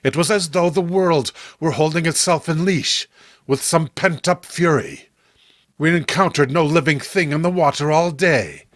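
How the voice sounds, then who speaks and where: A man narrating a horror story in a deliberate, theatrical way, as a channel host reading a script. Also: on the high side, lively intonation, a bit bright, dry recording, clean studio sound.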